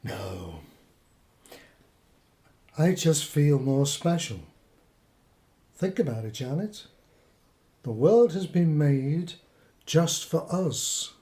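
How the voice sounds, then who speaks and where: Earnest British husband, lively pitch. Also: male voice, extremely slow, pauses often. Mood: earnest, quietly elated. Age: middle-aged. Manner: earnest, pedantic explaining. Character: earnest middle-aged husband, newly converted conspiracy believer. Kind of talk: radio play acting.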